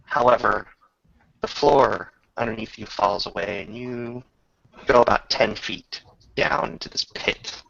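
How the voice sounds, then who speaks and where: A man narrating a tabletop roleplaying game as game master, descriptive and measured, often pausing mid-sentence. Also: on the low side, vocal fry, fairly bright tone. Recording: dull lo-fi recording.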